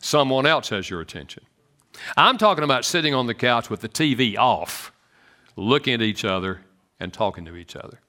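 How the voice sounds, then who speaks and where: Animated Southern man speaking on marriage. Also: normal speed. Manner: animated and joking, swoops in pitch. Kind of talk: church talk to an audience.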